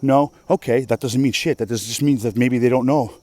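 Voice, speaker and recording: Man from Russia who speaks Russian natively, mid-pitched and mellow, delivering a profane, emphatic off-the-cuff rant. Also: fairly even intonation, a little fast, dry recording. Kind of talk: casual rant monologue.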